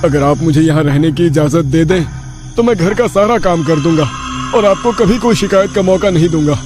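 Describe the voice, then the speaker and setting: A humble, troubled drifter in a horror cartoon, acted out by a male narrator. His voice is high for a man, meek and deferential, like a servant addressing his master. Faint music sits behind him. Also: normal speed, lightly acted. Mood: humble pleading. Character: troubled, humble, servile homeless burnt man seeking shelter. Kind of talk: horror cartoon story acting.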